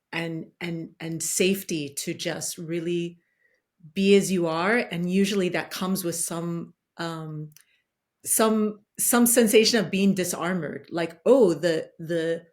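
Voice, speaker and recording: Low-voiced woman musing thoughtfully. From Guatemalan and Danish roots, partly raised in the United States. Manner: thoughtful, searching, many fillers and restarts. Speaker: podcast guest, facilitator. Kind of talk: reflective conversational talk.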